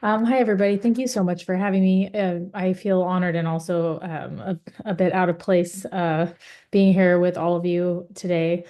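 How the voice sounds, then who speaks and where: A young woman speaking on a webinar panel over a video call, earnest and halting, with many fillers and restarts. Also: fairly low pitch, steady tone, mellow. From United States. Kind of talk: webinar testimony.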